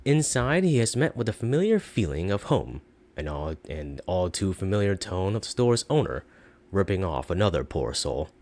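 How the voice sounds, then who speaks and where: A man with a low voice narrates a story aloud. His delivery is expressive, with pitch that moves widely from phrase to phrase.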